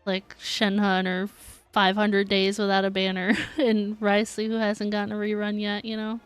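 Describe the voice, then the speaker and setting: A woman's voice: mid-range voice, completely flat delivery, moderate pace, clear, resonant tone, dry recording. A woman chatting casually to viewers as she streams a game. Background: game music.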